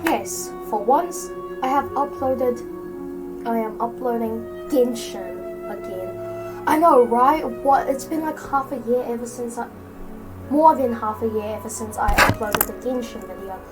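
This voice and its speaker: A young woman hosts her gaming video in a chatty, offhand and joking way. Her voice sits slightly high. Game music plays clearly behind her commentary. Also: unhurried, rough recording. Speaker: gaming channel host. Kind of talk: casual gameplay commentary.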